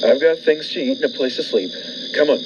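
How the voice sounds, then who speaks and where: A man's voice: medium pitch, animated, fairly quick, noisy background, natural voice. A man playing a brusque, controlling hotel-owner bridegroom in an old-fashioned radio thriller, with a curt, ominous delivery. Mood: cold, commanding. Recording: muffled lo-fi radio play with music.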